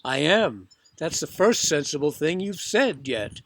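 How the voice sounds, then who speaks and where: A man's voice: a little high, sing-song, unhurried, a bit bright, a little hiss or hum, exaggerated voice. A man voicing a blunt, suspicious working-class serviceman in a storybook, with a mocking, folksy way of talking. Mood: defensive, boastful.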